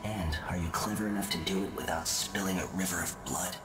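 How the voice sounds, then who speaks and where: Sardonic supernatural male for a game, played by a man: lowish voice, deliberate phrasing, clear music underneath. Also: fairly even intonation, natural voice. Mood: cool, challenging. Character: cryptic otherworldly male spirit, coolly taunting. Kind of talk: video game voice acting. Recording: echoing, with prominent music behind.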